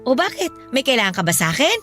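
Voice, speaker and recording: Bright, high-pitched meddling mother. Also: big pitch swings, fast talker. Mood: breezy, bossy. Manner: bossy, breezy. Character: meddling, brisk mother on her birthday. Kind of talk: Filipino radio drama acting. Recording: music bed under the voice.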